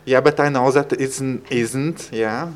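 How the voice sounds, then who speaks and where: A man's voice: medium pitch, fairly even intonation, measured pace. A man with a Latvian accent, a visiting teacher answering interview questions in a hesitant way, searching for words and using many fillers. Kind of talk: casual radio interview.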